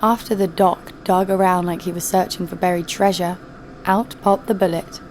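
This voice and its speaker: A woman's voice: fairly low pitch, fairly even intonation, normal speed, darkish tone, slight background noise, lightly acted. A woman playing a tough, sardonic young bounty hunter who narrates an audio drama in a wry, world-weary way. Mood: wry narration. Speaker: narrator and lead.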